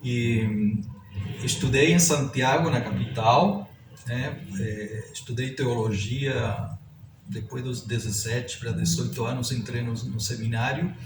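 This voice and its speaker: A man in his sixties with a Chilean Spanish accent speaks as an interview guest, reflective and halting, often adding little tag questions. He is heard from a distance in an echoey room. There is clear noise behind him. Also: mid-range voice, fairly even intonation. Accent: Chilean Spanish accent in Portuguese. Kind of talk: reflective autobiographical interview talk. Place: indoors at a publisher's office.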